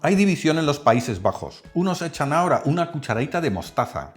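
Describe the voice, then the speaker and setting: Male cooking host, animated delivery over faint music. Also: a little high, brisk. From Netherlands. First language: Dutch.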